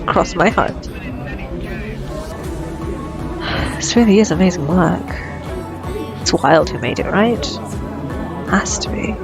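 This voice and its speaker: A woman's voice: on the low side, expressive, a little slow, music in the background. A woman playing a cocky, flirtatious female vampire in an ASMR roleplay, sardonic and playful. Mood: teasing, bossy. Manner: sardonic, playful, casually swearing. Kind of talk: ASMR roleplay audio drama.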